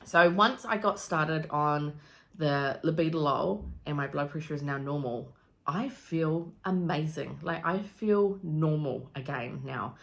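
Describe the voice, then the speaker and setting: A woman in her thirties with a New Zealand accent and a low voice for a woman. She chats to the camera in a casual, rambling way, with plenty of ums and likes. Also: a little slow, creaky, a bit bright. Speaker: vlogger. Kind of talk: casual pregnancy vlog.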